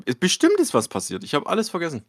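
A man's voice: fairly high pitch, huge pitch swings, fast talker, dry recording, clean studio sound. A man co-hosting a podcast in casual chat, rambling through everyday stories and arguing small details. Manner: chatty, rambling storyteller, argues details. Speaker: podcast co-host.

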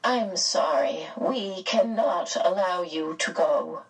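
A woman voicing a calm, grave female guardian being in a storybook, measured, firm and regretful. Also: on the high side, husky, recorded from a distance, pitched above the performer's own voice, exaggerated voice, rough recording. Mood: sad, firm.

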